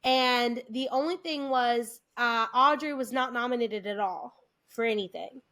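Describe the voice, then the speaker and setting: Bright, high-voiced American woman chatting. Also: unhurried. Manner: chatty, animated, trails off and restarts. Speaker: podcast co-host. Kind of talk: casual chat.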